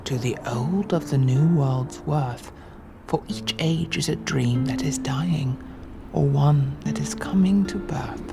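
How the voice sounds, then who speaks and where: Very deep, gravelly old sage cat played by a woman. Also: takes it slowly, loud music behind the voice, heavily acted. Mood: solemn. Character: wise, world-weary, prophetic old cat. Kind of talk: audio drama acting.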